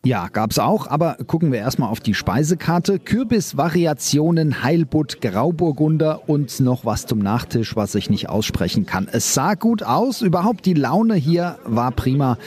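A man radio reporter giving a light, playful report on a royal visit with a husky voice. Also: a little high, big pitch swings, normal speed, few pauses, darkish tone.

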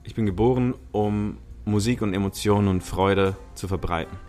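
Lively man, low voice. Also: moderate pace, faint music behind. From Switzerland. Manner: lively, talkative, laughs easily, many fillers. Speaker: podcast guest, musician. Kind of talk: casual chat.

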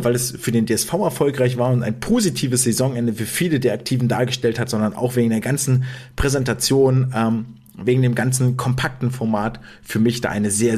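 A man's voice: mid-range voice, a little mellow, breathy edge. A man hosting a swimming podcast in casual talk, chatty with many fillers and restarts. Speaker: podcast host.

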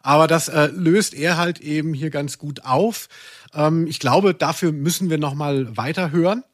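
Slightly high-voiced male podcast host, dry sound. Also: normal speed, no echo. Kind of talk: casual conversational podcast hosting.

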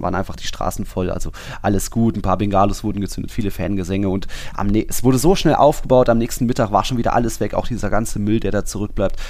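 A man's voice: lowish voice, a little mellow, dry recording. A man explaining things in casual podcast conversation, in loose, rambling sentences. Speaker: podcast co-host.

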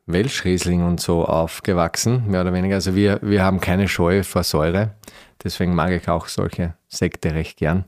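A man's voice: low voice, darkish tone. A man with an Austrian accent from Styria, speaking casually and thoughtfully as an interview guest, feeling his way through his phrases. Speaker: podcast guest, winery managing director.

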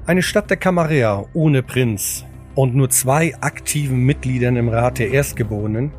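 A man reading scripted audio drama narration in a measured, solemn storytelling manner. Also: mid-range voice, a little mellow. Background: music.

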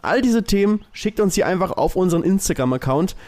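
Fast-talking man with a higher voice. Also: expressive, darkish tone. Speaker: podcast co-host. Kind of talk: casual comedic chat.

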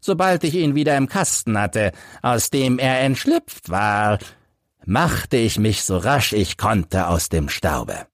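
Male narrator as bitter old soldier, low voice, slow and halting, dramatic, audiobook character. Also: sing-song, dry recording, clean studio sound, exaggerated voice. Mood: tense, hurried. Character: embittered old crippled soldier confessing his tale.

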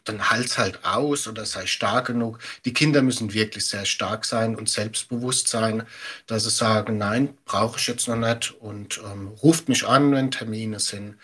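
A middle-aged man giving measured, practical explanations as an expert guest in an interview. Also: on the low side, pushed and bright, husky. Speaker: media education expert, podcast guest.

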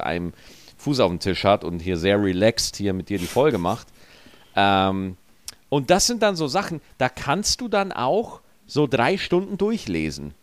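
A man with a regional German dialect and a rolled R, telling stories in a relaxed, joking podcast chat. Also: on the low side, no room sound. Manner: lively storyteller, joking. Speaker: podcast co-host. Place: studio, feet up on desk.

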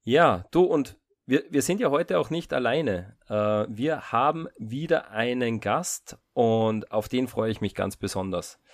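A sick male co-host with a slightly hoarse, gravelly voice. He rambles and jokes casually. The sound is dry. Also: mid-range voice, lively intonation, unhurried, few pauses, dry recording. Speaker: podcast co-host. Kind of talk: casual podcast chat.

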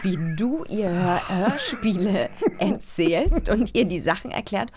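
A woman co-hosting a podcast in casual, playful chat, expressive and jokey. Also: mid-range voice, slow pace, noisy background. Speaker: podcast co-host.

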